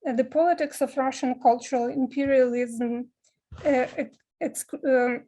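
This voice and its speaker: A woman's voice: high voice, fairly even intonation, mellow. Hesitant woman giving a seminar talk. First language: Ukrainian. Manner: halting, many filler sounds and restarts. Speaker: seminar speaker, film scholar.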